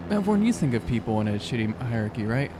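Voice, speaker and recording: A man playing a tabletop game, improvising in a casual, halting way as he searches for words. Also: medium pitch, big pitch swings, noisy background. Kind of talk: casual improvised role-play chat.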